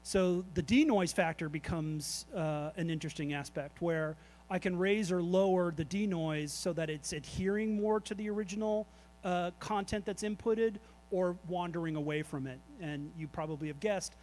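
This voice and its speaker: A man's voice: sits high, moderate pace, a little mellow, poor audio. A man speaking loosely and candidly at a meetup, answering audience questions about his creative tech work with plenty of fillers. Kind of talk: casual presentation Q&A. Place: event space at a meetup.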